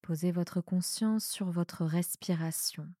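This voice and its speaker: Slow, low-pitched woman guiding meditation. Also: dry recording, studio quality. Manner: calm, soothing, measured phrasing with pauses. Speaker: yoga nidra guide. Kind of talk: guided meditation, relaxation instruction.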